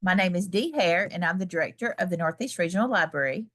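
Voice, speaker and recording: A woman's voice: fairly low pitch, quick pace, fairly bright tone. A woman hosting a children's read-aloud, giving a scripted welcome. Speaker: library director, read-aloud host.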